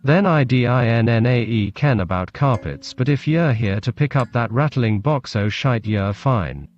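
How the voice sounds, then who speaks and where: A man's voice: mid-range voice, moderate pace, natural voice. Man voicing a brash Scottish receptionist woman, muffled. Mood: blunt, breezy. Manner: bellowing, irreverent, blunt. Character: chirpy, brash, big-boned crematorium receptionist woman. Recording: muffled lo-fi recording with background noise.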